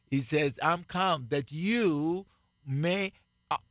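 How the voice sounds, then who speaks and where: A man with a Jamaican accent, preaching on a radio broadcast in a slow, emphatic way and repeating key phrases. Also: fairly high pitch, fairly bright tone, dry recording, clean studio sound. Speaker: pastor. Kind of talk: radio sermon, co-hosted Bible teaching.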